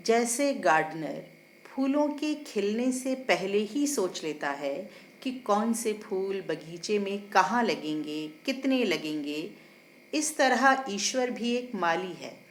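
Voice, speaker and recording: A woman voicing a learned older male judge in a story, explaining philosophy in a measured, didactic way with emphatic English phrases. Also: mid-range voice, expressive. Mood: measured, wondering. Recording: roomy echo, faint background noise.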